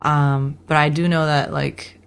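A woman's voice: low-pitched, flat delivery, measured pace, clear, resonant tone. A woman speaking as a guest in a casual conversation, easygoing and laughing as she talks. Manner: easygoing, laughs while talking.

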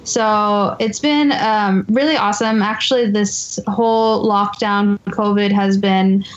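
A woman guest explains things at a steady pace in an interview. Her sound is slightly dull and lo-fi. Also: medium pitch, takes it slowly. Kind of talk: casual interview chat.

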